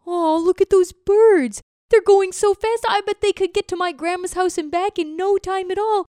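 A woman voicing a talkative, excitable young male turtle who rushes his words together. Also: very high-pitched, dry recording, studio quality, voice pushed up. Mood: eager.

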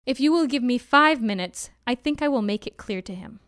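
A woman's voice: fairly high pitch, lively intonation, a little fast, fairly bright tone, smooth, dry recording, studio quality. A woman voicing a kindly, earnest male Brahmin in a storybook, speaking with patient, rueful sincerity. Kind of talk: storybook character voice.

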